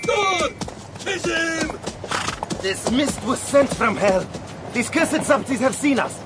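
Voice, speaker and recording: An actor playing a wary, fierce, loyal Eastern guide on horseback, with formal, archaic, emphatic speech. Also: male voice, very high-pitched, sing-song, takes it slowly, over loud music, full character voice. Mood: alarmed. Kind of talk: audio drama acting. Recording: noisy horse and outdoor effects behind.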